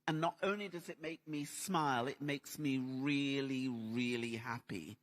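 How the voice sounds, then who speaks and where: Slow-speaking man on a panel. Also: mid-range voice. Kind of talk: conference panel remarks. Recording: long hall reverb.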